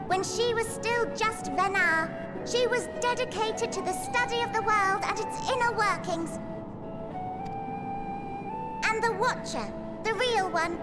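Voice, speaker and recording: A woman voicing a chirpy, long-winded, self-important little rabbit-like creature in a video game, theatrical and wordy. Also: very high-pitched. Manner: theatrical, wordy, archaic phrasing. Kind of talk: scripted video game dialogue.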